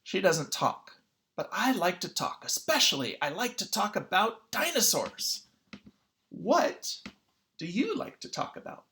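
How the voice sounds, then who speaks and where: Someone reading a children's picture book aloud in an expressive, teacherly way. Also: mid-range voice, unhurried. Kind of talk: children's read-aloud narration.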